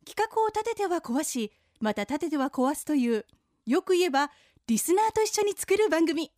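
A woman's voice: extremely high pitch, sing-song, moderate pace, a little mellow, dry recording, studio quality. A woman reading scripted narration as the announcer of a program.

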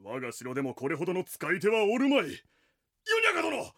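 An actor playing a pompous, excitable male samurai retainer with archaic, blustering speech in a radio drama. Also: mid-range voice, a little fast, full character voice. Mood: blustering, impressed. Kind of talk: animated radio drama acting.

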